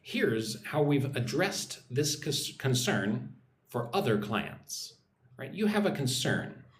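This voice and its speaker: A man's voice: mid-range voice, sing-song, reverberant, slight background noise. An American man teaching English on a live stream, reading out viewer comments and sounding out words for listeners to repeat. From United States. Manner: reads viewer comments aloud, models pronunciation slowly.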